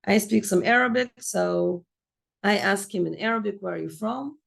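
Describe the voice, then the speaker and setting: Gravelly-voiced woman telling a story. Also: medium pitch, moderate pace. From Israel. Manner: storytelling, acts out dialogue. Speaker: course teacher. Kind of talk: lecture with a personal story.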